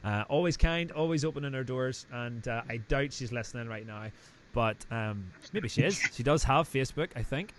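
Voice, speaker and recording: A man's voice: medium pitch, normal speed, noisy background. Northern Irish male host, thirties, casual and jokey, wanders off on tangents. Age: 30s. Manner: chatty, joking, rambling asides. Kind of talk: casual live-stream interview chat.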